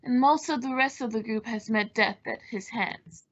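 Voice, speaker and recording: Woman playing a polite, high-voiced villager. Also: normal speed, a bit bright. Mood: polite concern. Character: polite, uneasy leader of a village faction. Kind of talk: old-time radio mystery acting. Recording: dull lo-fi radio play recording.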